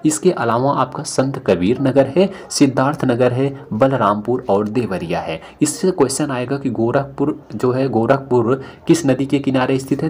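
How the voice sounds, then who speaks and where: A man explains exam questions one by one as a teacher, repeating questions and answers for emphasis. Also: medium pitch. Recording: noticeable background noise.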